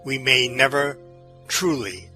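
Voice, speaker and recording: A man announcing for an audio drama series, reading scripted narration and credits in a measured, formal, theatrical manner. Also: mid-range voice, pushed and bright, faint music behind.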